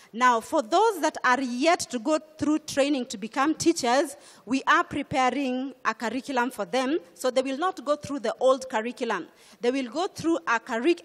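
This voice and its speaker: A middle-aged woman with a Kenyan accent, speaking on a conference panel about curriculum changes in a measured, explanatory way, into a handheld mic. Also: high voice. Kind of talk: panel discussion. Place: conference stage. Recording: hall reverb.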